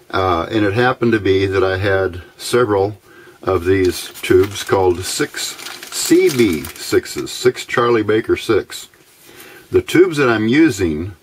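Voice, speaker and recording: An American man talks through a hobby demonstration in a casual, halting way. His voice is fairly low and husky, and he takes his time, with a very slow pace. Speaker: electronics hobbyist demonstrating a homemade receiver. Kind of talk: casual instructional narration. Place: indoors at a workbench. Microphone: camera microphone.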